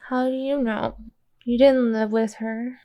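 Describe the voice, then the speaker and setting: Casting: woman as an anxious, withdrawn girl in a tabletop game, fairly high-pitched, terse and unsure. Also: flat delivery, unhurried, lo-fi sound. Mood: downcast, anxious. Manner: terse, insecure, plain. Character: Dorothy, timid, downcast, guarded girl.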